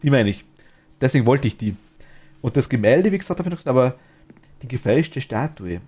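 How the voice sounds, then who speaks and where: A man's voice: medium pitch, expressive, fairly quick, darkish tone, husky. A man with a Bavarian accent chatting casually and enthusiastically while streaming a game, laughing easily.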